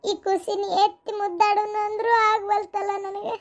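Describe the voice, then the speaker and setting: A young mother's restless ghost in a cartoon melodrama. The voice is extremely high, almost childlike, and plaintive. She laments in grief with a North Karnataka accent. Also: flat delivery, normal speed, lo-fi sound. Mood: anguished, tearful. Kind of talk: melodramatic cartoon story acting.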